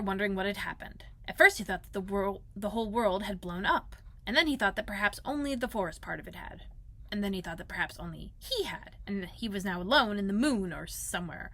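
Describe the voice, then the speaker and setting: Bright-voiced woman reading a children's story. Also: medium pitch, expressive, fairly quick. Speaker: elementary school librarian, read-aloud host. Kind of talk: expressive children's storybook narration.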